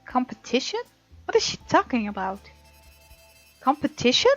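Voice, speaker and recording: A woman hosting a let's play, reading game text aloud dramatically and reacting with animated sass. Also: high voice, normal speed. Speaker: gaming channel host. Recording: slightly dull, lo-fi, game music behind. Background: soft game music.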